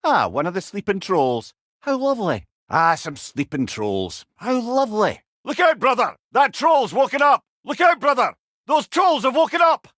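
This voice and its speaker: An actor playing a wry, clever older male storyteller companion in a video game, with a Scottish accent and a droll, expressive manner. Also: very high-pitched, normal speed, no echo, studio quality, heavily acted. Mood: droll, curious, then alarmed, urgent. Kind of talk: video game character dialogue.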